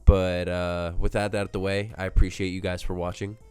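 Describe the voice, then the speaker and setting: A man's voice: sits low, flat delivery, a little fast, darkish tone, smooth, faint music behind. A man with a stuffy nose from a cold, chatting casually on his gaming channel in a rambling, self-mocking way with jokey asides. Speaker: gaming video host. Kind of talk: casual solo speculation to camera. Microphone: desk microphone.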